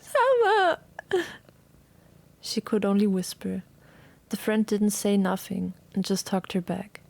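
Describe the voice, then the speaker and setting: A woman narrating a scripted dramatic story in a measured, solemn way. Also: medium pitch, little pitch movement, creaky, mellow, dry recording.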